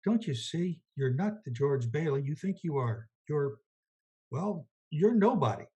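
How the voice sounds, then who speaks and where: A man playing a husky, gentle apprentice angel. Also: fairly high pitch, very animated, a little slow, exaggerated voice. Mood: earnest, coaxing. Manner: earnest, quaint and polite. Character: gentle, eager, naive male apprentice guardian angel. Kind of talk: 1940s-style radio play acting.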